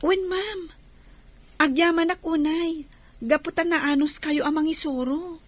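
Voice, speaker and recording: A high-voiced, shy young woman trainee. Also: fairly even intonation, unhurried. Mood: grateful, respectful. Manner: deferential, polite. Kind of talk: radio drama acting.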